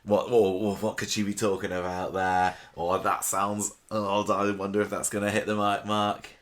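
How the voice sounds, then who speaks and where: Male podcast host, deep and a touch croaky, chatty, rephrases mid-thought. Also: completely flat delivery, moderate pace, a bit bright. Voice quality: slightly croaky. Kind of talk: casual chat about a TV soap.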